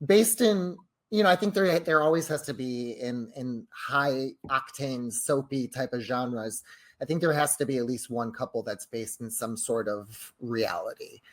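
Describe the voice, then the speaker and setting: A man's voice: mid-range voice, unhurried. A man in casual podcast conversation as a co-host, feeling out his phrases as he goes. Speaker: audio drama writer and podcast host. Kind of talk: casual chat.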